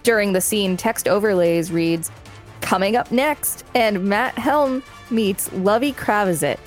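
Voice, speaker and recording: A woman's voice: mid-range voice, takes it slowly, soft background music. A woman co-hosting a podcast, reading an article aloud and adding casual commentary. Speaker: podcast co-host.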